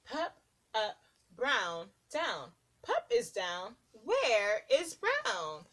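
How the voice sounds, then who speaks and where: A woman preschool teacher reading a rhyming picture book aloud to young children in a sing-song, deliberate, expressive way. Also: a little high.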